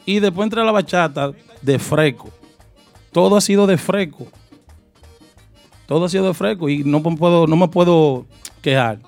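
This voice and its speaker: A man from New York, a guest musician chatting casually in an interview, easygoing and quick to laugh, now and then slipping into English. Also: a little high, a little mellow, soft background music.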